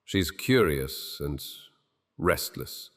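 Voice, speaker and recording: A man's voice: very deep voice, big pitch swings, very slow, fairly bright tone, exaggerated voice. A man voicing a guilt-ridden male king who stammers and pleads, in an audio drama with long hall reverb. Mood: anguished.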